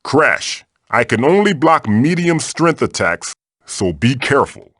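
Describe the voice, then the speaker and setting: A man's voice: mid-range voice, sing-song, measured pace, fairly bright tone, husky, dry recording, studio quality, full character voice. A man voicing a wise, wisecracking ancient magical mask who guides players through a video game in a theatrical, avuncular, wry way. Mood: instructive, encouraging. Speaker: game guide character giving tutorials. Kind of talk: animated video game voice acting.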